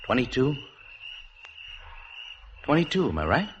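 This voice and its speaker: An actor in a 1950s radio drama playing a flirtatious bachelor in his late twenties, gentle and teasing. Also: medium pitch, huge pitch swings, measured pace. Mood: teasing, admiring. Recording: noisy 1950s radio recording.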